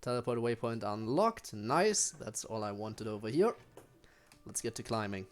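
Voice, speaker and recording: A man's voice: lowish voice, animated, moderate pace. A young man with a German accent, a native German speaker, casually chatting with his chat while streaming a game, with frequent ums and restarts.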